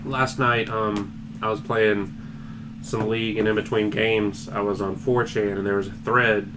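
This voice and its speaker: A man's voice: on the low side, little pitch movement, unhurried, music in the background. A man hosting a casual podcast, rambling and joking among friends with plenty of swearing. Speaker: podcast host.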